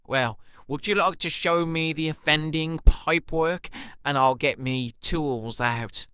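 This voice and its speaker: A man's voice: fairly high pitch, a little slow, sharp tone, smooth, dry recording. A man voicing a polite, deferential British plumber, courteous and matter-of-fact, in an audio play. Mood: dutiful, polite.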